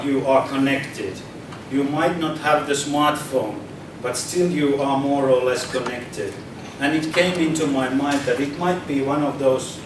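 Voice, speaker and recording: A man from Finland giving an informal public talk, hesitant, often repeating words and restarting sentences. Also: mid-range voice. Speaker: speaker at a public debate. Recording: echoey hall from far off, noisy.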